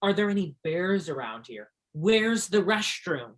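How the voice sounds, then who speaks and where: A man's voice: high-pitched, sing-song, unhurried, a bit bright, heavily acted. Man acting a dreamy, reluctant teenage boy. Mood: grumbling. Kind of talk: stage play acting.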